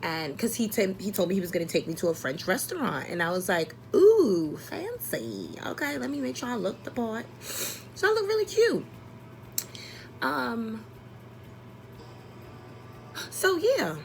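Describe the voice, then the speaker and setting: A woman chatting casually as a vlogger, telling a personal story in an expressive, exasperated, sweary and comedic way. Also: fairly high pitch, measured pace, creaky, pauses often, a little hiss or hum, rough recording.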